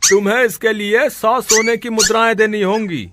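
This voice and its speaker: A man's voice: sits high, steady tone, moderate pace, natural voice. A man voicing a greedy, scheming village thief in a children's cartoon story. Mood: sly, eager. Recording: noisy background.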